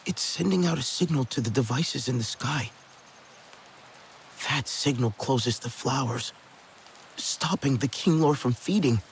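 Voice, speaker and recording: Young alien hunter, creaky and earnest. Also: male voice, mid-range voice, slight background noise. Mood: earnest, determined. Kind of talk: dramatic video game acting.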